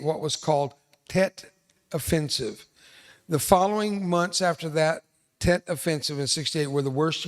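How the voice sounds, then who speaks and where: An older man from South Texas whose first language was Spanish, giving an emphatic motivational speech to an audience and repeating his key lines. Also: fairly high pitch. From South Texas, USA. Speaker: veteran speaker, resiliency trainer.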